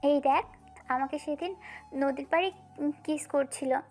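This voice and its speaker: Audio drama casting: actress as scheming little sister, piercingly high, pleading and insistent, music underneath. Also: female voice, measured pace, darkish tone. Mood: insistent, feigning innocence. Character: scheming younger sister feigning innocence, pleading. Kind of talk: audio story acting.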